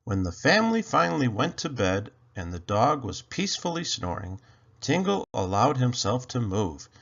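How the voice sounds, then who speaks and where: A man with a gravelly, husky voice narrating a children's story in an expressive read-aloud style. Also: medium pitch, normal speed, dull audio. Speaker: storybook reader.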